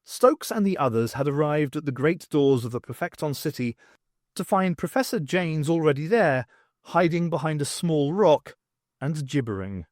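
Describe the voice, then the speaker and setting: Man reading an audiobook, lively pitch, dramatic storytelling, very dry close sound. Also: normal speed, dry recording. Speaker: audiobook narrator. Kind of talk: scripted dramatic narration.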